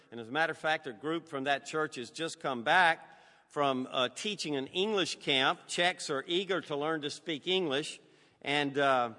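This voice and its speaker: An older man with a Southern American accent, a guest pastor reading scripture and preaching in a measured, folksy way with frequent fillers. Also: a little high, pushed and bright, in a large hall.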